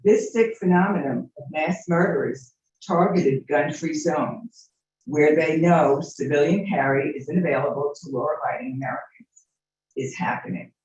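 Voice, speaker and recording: A man's voice: on the high side, normal speed, darkish tone, a little hiss or hum. A man reading an earnest prepared statement during public comment at a city meeting. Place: city commission chamber. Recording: distant very echoey muffled hall mic.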